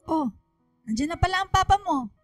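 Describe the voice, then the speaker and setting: A woman's voice: very high voice, lively intonation, moderate pace, a bit bright, dry recording, soft background music. A woman with a Filipino accent playing a happy, affectionate middle-aged mother, chatty, in a radio drama. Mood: fond, happy.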